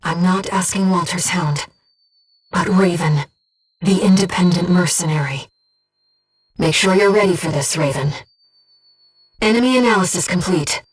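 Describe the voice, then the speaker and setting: A woman voicing a young, gentle, bodiless female spirit in a video game, soft-spoken and precise as she guides a mercenary through missions. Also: lowish voice, normal speed, pauses often, breathy edge, far from the mic. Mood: tender, solemn. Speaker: mission operator companion. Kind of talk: video game voice acting, tactical radio guidance.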